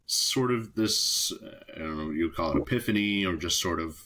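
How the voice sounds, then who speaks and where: Very deep-voiced hesitant male host. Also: moderate pace, bright, forceful tone. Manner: hesitant, rambling questions with many fillers. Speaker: podcast host. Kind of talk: interview questions.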